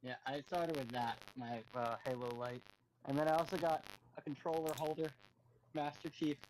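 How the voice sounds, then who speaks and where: A man's voice: a little high, fairly even intonation, moderate pace, darkish tone, rough recording. A man as a podcast guest, chatting casually about video games like an eager fan explaining details.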